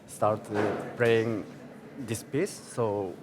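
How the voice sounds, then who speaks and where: A halting young man speaks very slowly and searches for his words. His voice is lowish. Steady background noise runs under it. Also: over music. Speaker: pianist guest.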